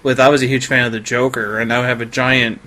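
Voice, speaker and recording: A man's voice: mid-range voice, little pitch movement, quick pace, bright, forceful tone. A man talking casually and informally in a wry, incredulous way. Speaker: podcast participant and collector. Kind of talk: casual chat.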